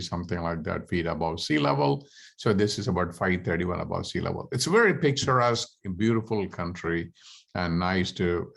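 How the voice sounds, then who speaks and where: A middle-aged man, a native Tamil speaker, gives an information session to prospective students. His voice sits low and his delivery is halting, full of "uh" and "um" and small restarts as he explains the program. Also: creaky. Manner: halting, many "uh" fillers and restarts. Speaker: study abroad program presenter.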